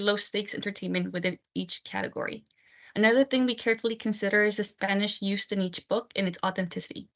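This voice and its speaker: A woman's voice: mid-range voice, a bit bright. A woman from Texas reading a scripted book presentation over a video call. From United States (Texas). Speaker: book selection editor. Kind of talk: prepared book talk presentation.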